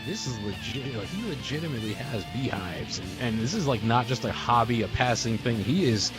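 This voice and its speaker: A man's voice: medium pitch, sing-song, a little fast. A man speaking casually in a group remembrance, telling rambling stories as a podcast host. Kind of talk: casual reminiscing in a group memorial call. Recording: soft guitar music behind. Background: guitar music.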